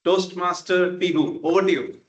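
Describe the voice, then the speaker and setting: Man, high voice, meeting host, friendly, hesitant fillers, echoey room. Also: normal speed, muffled recording. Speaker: table topics master. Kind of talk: hosting a club meeting.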